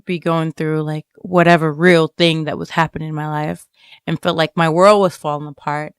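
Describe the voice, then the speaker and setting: A woman giving a reflective personal interview, speaking haltingly and often dropping in "like" and "you know.". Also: deep voice, flat delivery, smooth, no room sound, studio quality. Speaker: interviewed writer.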